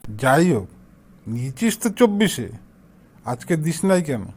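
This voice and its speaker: A man's voice: mid-range voice, big pitch swings, normal speed, a little mellow, husky. A man playing a crafty, pushy shopkeeper in an amateur comic cartoon, scolding and badgering in an eastern Bengali accent. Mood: threatening. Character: pushy, crafty male shopkeeper demanding unpaid money.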